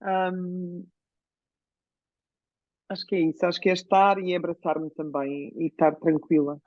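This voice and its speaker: Woman, Portuguese accent, coaching client, reflective, halting, dry dull video-call sound. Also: on the low side, flat delivery, dry recording. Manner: hesitant, reflective, many pauses and restarts. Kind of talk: live coaching session.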